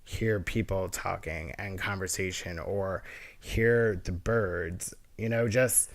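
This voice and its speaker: A man's voice: low-pitched, fairly even intonation, slow. A man with a nasal voice, a guest on a casual podcast, talking earnestly in a rambling way with many restarts. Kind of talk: casual interview chat.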